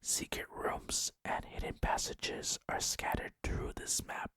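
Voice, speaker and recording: A person narrating gameplay entirely in a breathy ASMR whisper, close to the microphone, moving between scripted facts and casual asides. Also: unhurried, fairly bright tone. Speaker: gaming video creator narrating over gameplay. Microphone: close microphone, dry sound.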